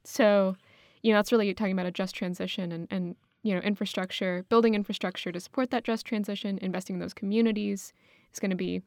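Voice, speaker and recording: A woman's voice: mid-range voice, fairly even intonation, fast talker, darkish tone, dry recording, studio quality. A woman co-hosting a podcast, discussing news in an earnest, explanatory, slightly tentative conversational way. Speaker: podcast co-host.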